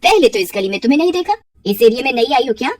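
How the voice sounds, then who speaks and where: Woman voicing a chatty, kindly old neighbour auntie, high-pitched. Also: animated, rapid-fire, clean studio sound. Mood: kind, concerned. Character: kindly, talkative elderly neighbour woman, helpful and motherly. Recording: roomy echo, distant from mic.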